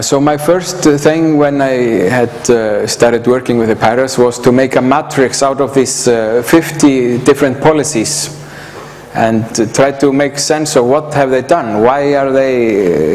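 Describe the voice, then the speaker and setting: A middle-aged man from Iceland with an Icelandic accent, giving an informal presentation in a hesitant, halting way full of pauses and filler sounds. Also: medium pitch, darkish tone. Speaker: conference speaker. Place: indoor hall, standing by a projector screen. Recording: echoey hall from afar, background hiss.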